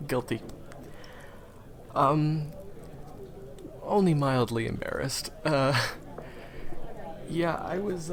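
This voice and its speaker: A man's voice: medium pitch, vocal fry. A man acting in an audio roleplay as a friendly, awkward, eager young guide to monster hunters, talking hesitantly and stammering to the listener. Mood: embarrassed, sheepish.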